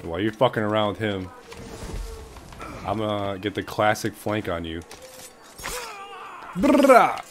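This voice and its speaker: A young man with a deep voice giving casual, excitable gameplay commentary from his bedroom, swearing a lot and calling everyone dude. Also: measured pace, pauses often, background noise. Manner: excitable, swears constantly, says "dude" and "bruh". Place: small bedroom.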